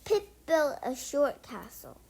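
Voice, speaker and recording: A child reading a picture book aloud as a storytime host, halting and repeating words, on a homemade recording. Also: high voice, very animated, darkish tone. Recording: clearly noisy home recording.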